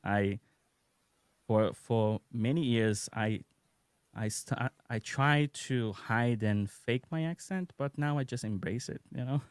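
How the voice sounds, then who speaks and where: A relaxed man in his thirties with a Brazilian accent speaks English as a second language. He is a guest on a live stream, telling stories with easy laughter. His voice is close and dry on a podcast microphone. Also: mid-range voice, slow pace, clear, resonant tone, no echo. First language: Portuguese. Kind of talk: casual live-stream chat. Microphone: podcast microphone on a video call.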